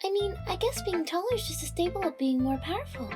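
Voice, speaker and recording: Naive, chirpy teen girl, extremely high childlike voice, visual novel dub, tinkly music behind. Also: lively intonation, fast. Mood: thoughtful, earnest. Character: sweet, naive, chirpy teenage schoolgirl.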